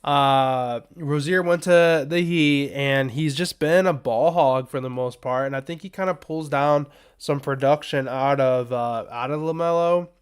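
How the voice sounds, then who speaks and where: A man hosting a sports betting podcast in a loose, rambling, casual way, his voice a little raspy and strained as he is under the weather. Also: medium pitch, measured pace, hardly any pauses. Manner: rambling, loose, filler-heavy asides. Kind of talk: casual unscripted betting picks talk.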